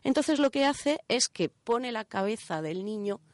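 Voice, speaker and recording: A woman's voice: fairly high pitch, lively intonation, unhurried, no room sound. Spanish woman explaining casually, dry close sound. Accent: Spain. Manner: colloquial, checks in with listeners using short tag questions. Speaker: radio show presenter of a science segment. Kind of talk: casual explanatory radio chat.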